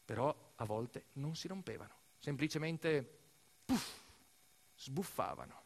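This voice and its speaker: A man performing a dramatic documentary theatre monologue, telling it emphatically with deliberate repetitions and pauses. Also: on the high side, fairly bright tone. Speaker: stage narrator, monologue actor. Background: music behind the voice.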